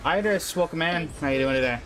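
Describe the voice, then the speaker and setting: A man's voice: a little high, quick pace. Easygoing man livestreaming over game music. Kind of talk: casual livestream chat and commentary.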